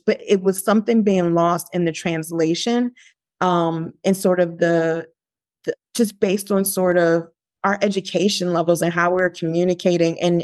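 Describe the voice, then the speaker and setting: A woman's voice: medium pitch, dry recording, clean studio sound. A woman in her forties, a podcast guest telling a personal story, emotional and searching for words. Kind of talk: reflective storytelling in conversation.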